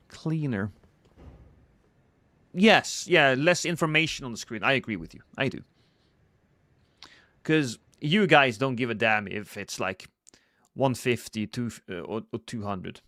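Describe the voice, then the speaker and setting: Playful Norwegian man with a lively pitch. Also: unhurried, long pauses, no echo. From Norway. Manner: playful, joking banter with chat; reacts loudly to in-game action. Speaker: streamer. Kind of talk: casual live gameplay stream chat.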